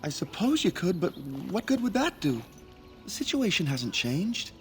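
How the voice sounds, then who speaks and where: Timid lovesick young male elf, game dialogue, highish swooping voice, hesitant and easily frustrated. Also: sing-song, measured pace, faint music behind, heavily acted. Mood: cautiously hopeful. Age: young adult. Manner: hesitant, stammering, earnest. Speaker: video game character.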